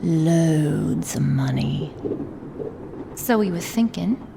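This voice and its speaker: A woman's voice: sits low, lively intonation, extremely slow, full character voice. A woman voicing a cocky, foul-mouthed young street punk girl in a comic dub, slangy and insolent, with a London accent. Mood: grumbling, scheming. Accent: British, London. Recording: clearly noisy background.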